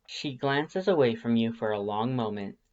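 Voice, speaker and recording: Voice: medium pitch, animated, normal speed, a little mellow. Storybook narrator, slightly muffled. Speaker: audiobook narrator. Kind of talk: expressive second-person fan fiction narration.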